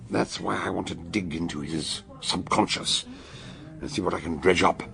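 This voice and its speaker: A hearty older British sleuth in a radio play. His voice is very low and creaky, and it swings widely as he probes with ponderous, theatrical emphasis. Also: a bit bright, heavily acted. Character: shrewd, hearty older male amateur detective. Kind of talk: radio drama acting and narration.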